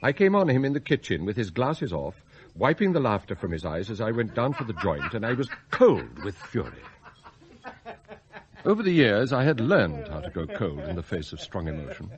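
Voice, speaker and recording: An actor playing an outwardly loyal but bitter, plotting butler in a radio drama, speaking in formal, precise words. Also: male voice, lowish voice, huge pitch swings, dull audio. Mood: cold, bitter. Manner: formal, precise, measured wording. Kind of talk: radio drama acting with confiding narration.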